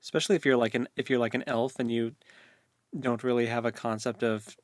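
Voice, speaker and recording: A man's voice: medium pitch, flat delivery, brisk, no room sound, clean studio sound. A man co-hosting a podcast, discussing a book casually in loose, informal phrases. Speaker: podcast co-host.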